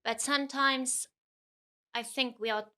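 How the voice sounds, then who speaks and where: A woman with an Afrikaans accent, a guest chatting casually on a podcast, reflective and quick to laugh. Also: high-pitched, steady tone, pushed and bright. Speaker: guest, content creator.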